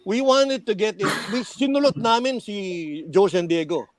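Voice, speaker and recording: An older man with a Filipino accent reminiscing casually, halting as he recalls details and acting out quoted dialogue. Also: high-pitched. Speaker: former radio DJ and program director, guest on a reunion show.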